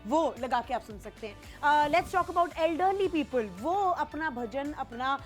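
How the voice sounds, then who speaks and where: A woman's voice: sits high, animated, slow, faint music behind. A young woman presenting a product on a home shopping show in a chatty, casual way, slipping English phrases into her talk. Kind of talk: teleshopping sales chat.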